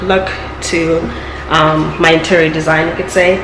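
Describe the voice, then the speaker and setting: A young woman with a raspy voice from being sick, chatting casually through a tour of her new apartment. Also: low voice, level tone, normal speed. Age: young adult. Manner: chatty, rambling with many fillers. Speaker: vlogger. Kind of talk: casual home tour vlog. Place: empty apartment, indoors. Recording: echoey empty apartment with hum.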